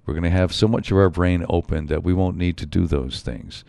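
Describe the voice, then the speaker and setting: Deep raspy man with a cold. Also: fairly quick, dark tone, dry recording. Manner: rambling, musing, frequent asides. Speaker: show host. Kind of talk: solo podcast monologue.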